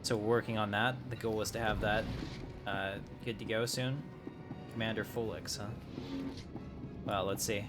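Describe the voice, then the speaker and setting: Casual male game streamer over loud music. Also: lowish voice, steady tone, normal speed, long pauses. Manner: rambling, conversational. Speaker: game streamer, channel host. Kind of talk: casual live gameplay commentary. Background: loud game music and effects.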